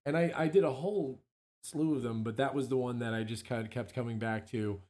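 A hoarse man, a musician guest, chatting casually on a local radio show with lots of ums and restarts. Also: medium pitch, brisk, darkish tone. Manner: rambling, many ums and restarts.

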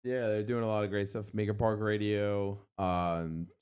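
A man's voice: on the low side, little pitch movement, moderate pace, a little mellow, smooth. A man hosting a show, chatting casually and plugging events in a laid-back, conversational way. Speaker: radio or podcast host.

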